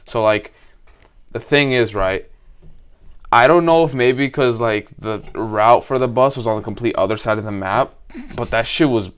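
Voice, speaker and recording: A man's voice: mid-range voice, clean tone. A young man co-hosting a casual podcast, telling long rambling stories full of fillers. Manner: rambling, drawn-out storytelling with many fillers. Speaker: podcast co-host. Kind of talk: casual chat.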